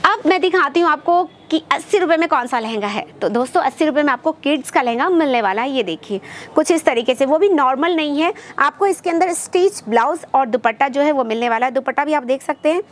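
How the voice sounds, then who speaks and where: A high-voiced young woman hosts a shopping vlog from inside a fabric-stacked shop. She talks to her viewers as friends, moving through the items with lively sales patter. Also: quick pace. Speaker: shopping vlogger presenting wholesale lehengas. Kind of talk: product showcase vlog. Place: textile shop full of stacked fabric, indoors.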